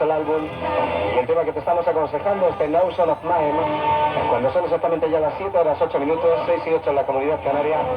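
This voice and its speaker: Male radio dj, voice a bit high, talking over loud music, dull recording. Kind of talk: Spanish music radio presenting over songs.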